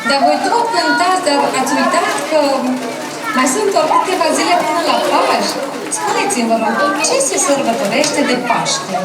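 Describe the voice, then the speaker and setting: Woman playing a high, bossy puppet kid, echoey. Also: lively intonation. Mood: scolding. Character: bossy know-it-all puppet child who loves sweets. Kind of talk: children's puppet show dialogue. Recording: echoey, distant, very noisy crowd.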